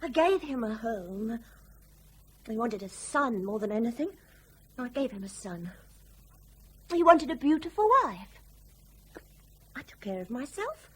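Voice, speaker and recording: Fed up bitter wife in a radio play, high husky voice, really dramatic and scornful. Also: female voice, very animated, unhurried, pauses often, full character voice, low-quality recording.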